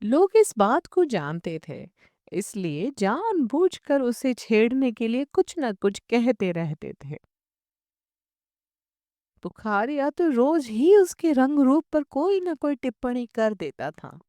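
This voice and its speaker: A woman reading a literary short story as an audiobook narrator, in measured, expressive storytelling. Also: fairly high pitch, dry recording, studio quality.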